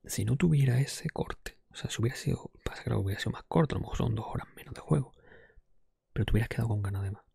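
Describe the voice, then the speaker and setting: Male, ASMR host, soft breathy whispering, creaky fry, very dry close-mic sound, conversational, unhurried. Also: mid-range voice, dry recording. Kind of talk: ASMR, improvised ranking of video games.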